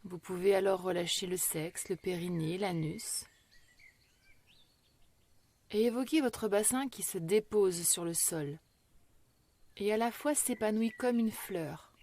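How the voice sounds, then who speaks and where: A woman guiding a yoga relaxation meditation in a slow, measured, gentle and soothing way, with long pauses. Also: medium pitch. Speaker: yoga nidra meditation guide.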